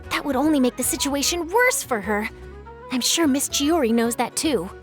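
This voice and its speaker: A young woman reporter in a video game, noticeably high in pitch. She sounds courteous, sympathetic and attentive as she speaks. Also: normal speed, faint music behind. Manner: polite, attentive, expressive. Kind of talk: video game character acting.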